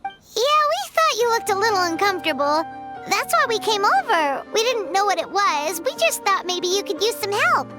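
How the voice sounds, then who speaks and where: A tiny, excitable fairy sidekick, with a very high, squeaky voice that leaps and bounces all over the place. She talks about herself in the third person. Bright game music plays behind her. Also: normal speed. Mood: curious. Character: tiny floating female fairy companion, excitable, food-loving. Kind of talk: video game voice acting. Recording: game audio with background music.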